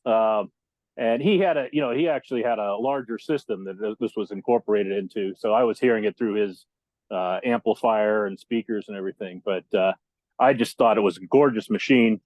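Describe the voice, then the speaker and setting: A man in his fifties with a slightly low voice reminisces in an easy conversational style. He is halting and attentive to technical detail. The recording is dry but dull and lo-fi. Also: dry recording. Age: 50s. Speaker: interview guest, audio electronics technician. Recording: dull, lo-fi connection.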